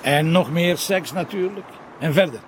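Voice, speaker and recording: An actor with a Flemish accent playing a cocky, sardonic middle-aged police commissioner in a TV crime drama, speaking colloquially with a gravelly voice. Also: male voice, a little high, normal speed, a little hiss or hum, close to an everyday voice. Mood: sardonic, instructive. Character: cocky, hard-drinking, teasing male police commissioner. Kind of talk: naturalistic TV crime drama dialogue.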